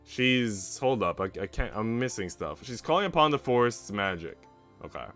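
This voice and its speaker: A man's voice: medium pitch, animated, normal speed, fairly bright tone, faint music behind. A man streaming, reading a visual novel aloud and chatting casually with his audience. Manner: informal, slangy when chatting.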